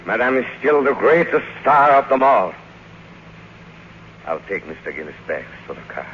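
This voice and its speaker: Deferential loyal butler, medium pitch, vintage radio drama, dull sound with faint hiss. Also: male voice, big pitch swings, normal speed, a bit bright. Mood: solemn, loyal. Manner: formal, deferential. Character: devoted, formal manservant to a faded star. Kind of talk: 1950s radio drama acting. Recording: muffled 1951 radio broadcast, faint hiss.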